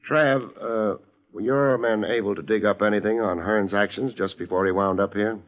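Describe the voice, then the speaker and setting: An actor playing a wry, hard-boiled private detective with an American accent, in a dry, laconic 1950s radio noir style. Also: male voice, on the low side, normal speed, highs cut off, lightly acted. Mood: dry banter. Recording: vintage radio recording, music underneath.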